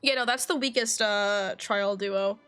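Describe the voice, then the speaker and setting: A woman's voice: mid-range voice, a little slow, a bit bright, clear, resonant tone. A woman giving casual live commentary as she streams a game. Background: game music.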